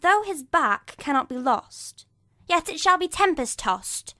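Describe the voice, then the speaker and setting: A woman's voice: sits high, very animated, a little slow, sharp tone, dry recording, clean studio sound, heavily acted. A woman playing a vengeful witch in a dramatic Shakespeare reading, chanting rhymed curses and prophecies.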